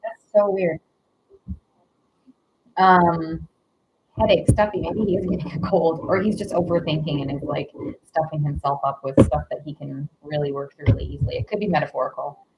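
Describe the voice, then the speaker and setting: A woman's voice: deep voice, flat delivery, normal speed, background noise. Woman, tarot reader, casual rambling chat, giggly, stuffy nose, muffled lo-fi audio. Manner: rambling, filler-heavy, giggly.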